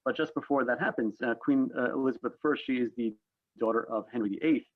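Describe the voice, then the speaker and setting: A man's voice: mid-range voice, quick pace, narrow, tinny audio. A man hosting a panel discussion and explaining history, repeating words as he builds toward his point. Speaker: panel host.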